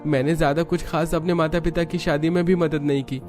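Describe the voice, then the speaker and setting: A man acts out a hard-up young husband in a cartoon family story, pitched up from his narrating voice. He sounds earnest, worried and apologetic, with music underneath. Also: steady tone, brisk, darkish tone, close to an everyday voice. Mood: apologetic, sincere. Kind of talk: dramatized Hindi moral story cartoon.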